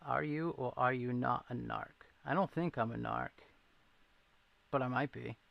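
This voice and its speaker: Gravelly man with a thin Boston accent. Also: mid-range voice, measured pace, dull audio. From New England, near Rhode Island. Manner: rambling, self-deprecating, reacts to chat. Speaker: livestream host. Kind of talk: casual livestream chat, answering viewers.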